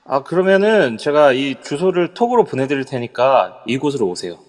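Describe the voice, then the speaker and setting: An actor playing a nervous, guarded male executive who takes a call, in an audio drama, with a high, strained voice. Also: big pitch swings, normal speed, long reverb tail, close to an everyday voice. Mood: wary. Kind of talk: amateur audio drama acting.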